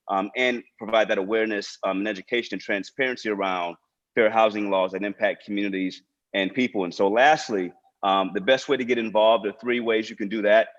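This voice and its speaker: A man's voice: on the low side, a bit bright. A man speaking as a nonprofit director, giving informal prepared remarks to an online panel over a video call. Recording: dull, lo-fi video call audio.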